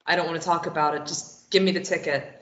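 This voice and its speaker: A woman's voice: low-pitched, steady tone, fast, a bit bright. Woman acting sarcastic runaway woman, echoey room. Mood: curt, irritated. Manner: sarcastic, dismissive. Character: bitter, self-deprecating young woman desperate to run away. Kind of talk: table read of a stage play. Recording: dull, roomy, echoey recording.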